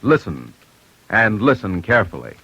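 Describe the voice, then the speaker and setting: A man's voice: on the low side, sing-song, moderate pace, gravelly, bright, forceful tone, breathy edge. A man with an American accent hosting a crime radio show, addressing listeners directly in a punchy, ominous way. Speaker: host of fugitive-hunting radio show. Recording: dull 1940s radio recording.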